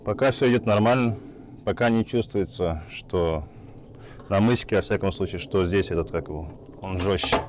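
A man in his forties with a fairly low voice talks calmly through a roofing task, thinking aloud as he works with his hands. He speaks outdoors in a sunny yard, picked up by a camera a few metres off. A faint noise sits behind him. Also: normal speed. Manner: thinks aloud while working, calm and explanatory. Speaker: roofing craftsman. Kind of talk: hands-on work demonstration. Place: outdoors in a sunny yard beside a house wall.

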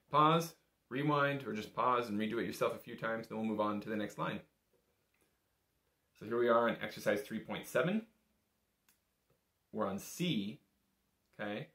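Low-voiced man teaching in an echoey room. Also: a bit bright. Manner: encouraging, informal, patient. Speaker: bagpipe teacher. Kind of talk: casual step-by-step instrument lesson. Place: small indoor room.

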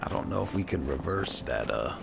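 A man doing in-character gameplay commentary, low-key and musing to himself, over game music. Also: lively intonation, a little fast, creaky, noisy background. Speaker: gamer playing a roleplay series.

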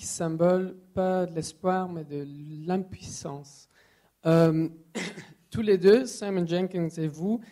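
A woman from England with an English accent puts a prepared question to an academic panel, deliberately and with pauses. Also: lowish voice, dark tone. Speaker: audience member asking a question. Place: lecture hall at a public panel.

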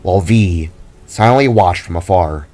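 Deep-voiced man narrating a story over music. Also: normal speed, warm tone.

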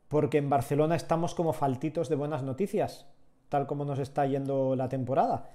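A man, chatting with viewers while teaching 3D sculpting live from a small home room. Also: a little high. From Catalonia, Spain. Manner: relaxed, chatty, answers chat while working. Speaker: 3D sculpting instructor.

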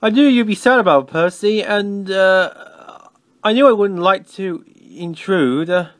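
A man's voice: high voice, a little slow, dry recording. A man voicing a fussy, boastful storybook steam engine who blusters impatiently and gets easily flustered.

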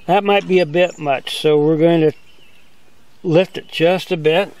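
An older man giving a relaxed, step-by-step outdoor demonstration as a sawmill instructor, talking in a plain, easygoing way. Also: fairly high pitch, a little slow, a little hiss or hum. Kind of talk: hands-on demonstration. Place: outdoors on a grassy lawn.

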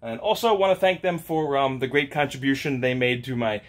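An upbeat man in his thirties talks excitedly about his finds, chatty and quick to laugh. He speaks in a home garage workshop into a camera held at arm's length. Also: medium pitch. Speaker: channel host. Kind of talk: casual car-parts vlog. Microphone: handheld camera at arm's length.

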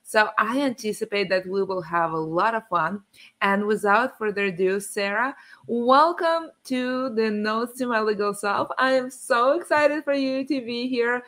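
An effusive middle-aged woman hosts a casual interview over a video call. Her voice is bright and forward, and she gushes with enthusiasm as she welcomes her guest and asks questions from a room at home. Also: on the high side, unhurried. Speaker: podcast host. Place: home room with windows, indoors.

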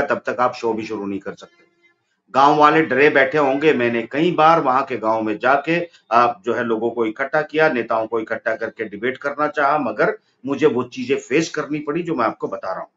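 Middle-aged male pundit on a webcam call, emphatic and insistent, muffled lo-fi audio. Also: moderate pace. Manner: forceful, rising to high emphatic peaks. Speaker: political commentator. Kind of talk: animated political debate on a video call. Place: office room, indoors. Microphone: video call webcam. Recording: dull lo-fi call audio with faint noise.

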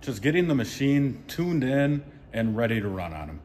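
A man's voice: medium pitch, very animated, moderate pace, a little mellow, a little hiss or hum. A welder in a workshop, a man giving plain, practical explanations of his settings and technique. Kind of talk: instructional shop talk. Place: indoor welding workshop.

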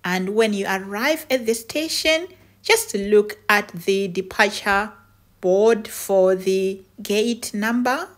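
A middle-aged woman narrating a travel vlog in a measured, matter-of-fact way. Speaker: travel vlogger. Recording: noisy, with vehicle and station rumble.

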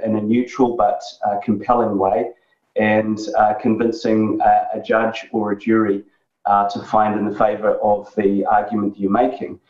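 A man in his forties from New Zealand with a New Zealand accent, a guest on a remote interview, talking in a measured way with many fillers and restarts. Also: fairly low pitch, a little mellow. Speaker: guest, tech executive. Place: dark home office. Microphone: video call. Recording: roomy, distant, dull lo-fi sound.

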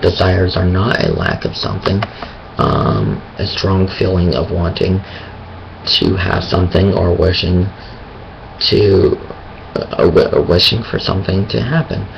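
A man talking about his faith in a hesitant, thinking-aloud way, with a raspy voice and many pauses and restarts. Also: low-pitched, expressive, highs cut off, a little hiss or hum. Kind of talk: casual monologue on faith.

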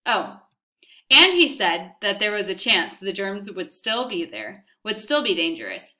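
A woman voicing a calm, quick-witted mother in a read-aloud story, turning falsely cheerful and high. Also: full character voice. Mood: mock-sweet.

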